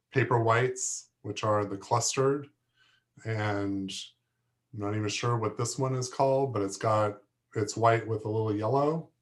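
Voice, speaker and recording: A man teaching a plant class who is losing his voice, hoarse and halting, often stopping to clear his throat. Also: lowish voice. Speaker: horticulture instructor. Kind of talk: lecture to a class.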